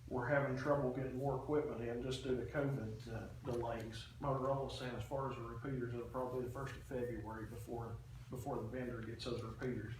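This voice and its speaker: A man explaining a report at a public meeting in a halting, matter-of-fact way. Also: medium pitch, level tone. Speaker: official presenting a radio system report to a committee. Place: indoor public meeting room. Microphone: distant room microphone. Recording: echoey meeting room, distant mic, faint noise.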